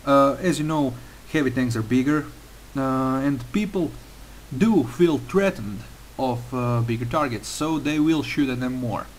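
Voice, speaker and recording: A young man with a Croatian accent narrates a game tutorial at a mid-range pitch, working through his sentences with frequent pauses and filler sounds. A faint hiss sits under his voice. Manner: careful non-native phrasing with frequent uh fillers. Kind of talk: gameplay tutorial voice-over.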